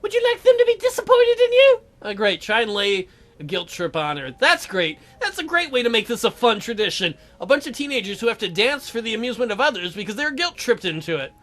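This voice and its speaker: A woman's voice: mid-range voice, big pitch swings, brisk, bright, forceful tone. A woman hosting a let's play, mixing casual, sarcastic asides with dramatic reading.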